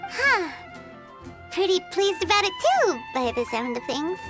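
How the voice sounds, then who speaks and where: A woman's voice: very high-pitched, expressive. A woman voicing a small childlike fairy companion in an anime game, cute and emphatic, blunt and a little bossy. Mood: skeptical, teasing. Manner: cute, emphatic, drawn-out exclamations. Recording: studio voice over game music. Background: playful tinkling music.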